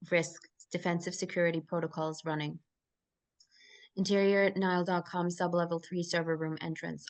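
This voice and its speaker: Woman narrator, fairly low voice, scripted screenplay directions, table read delivery. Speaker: stage-direction reader.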